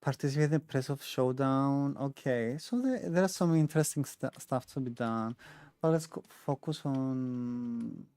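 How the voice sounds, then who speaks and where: A man's voice: mid-range voice, normal speed, mellow, smooth, dry recording. A man giving casual gaming commentary as a streamer, chatty and thinking aloud as he plays. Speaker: game streamer.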